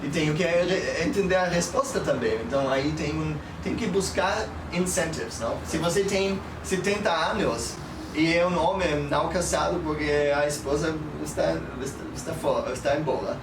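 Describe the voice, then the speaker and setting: Guy in his 30s, bit high voiced, casual stories n advice, halting, mixes in foreign words, mic far off, noisy. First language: German. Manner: halting non-native speech peppered with Spanish and English words and tag questions. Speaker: guest, public speaker. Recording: echoey, noisy, distant mic.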